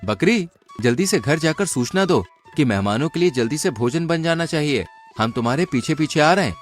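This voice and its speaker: A cunning young villager, acted by a male storyteller, with a lively, slightly high voice over clear background music. He is confident and persuasive, in a cartoon folk-tale manner. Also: fairly quick, natural voice. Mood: slyly friendly. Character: cunning, confident young village man outwitting two thugs. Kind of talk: Hindi cartoon moral-story dialogue.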